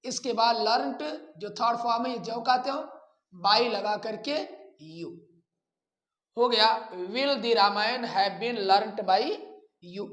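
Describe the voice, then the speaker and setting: Slow-paced male grammar teacher in echoey room. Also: sits high, a bit bright. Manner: deliberate, repetitive, step-by-step explaining. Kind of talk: grammar lesson, explaining formulas and examples.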